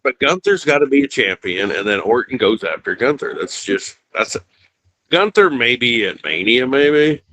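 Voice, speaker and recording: A man's voice: mid-range voice, very animated, normal speed, pushed and bright, poor audio. A man co-hosting a casual live stream podcast, rambling with lots of restarts and "you know". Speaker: podcast co-host.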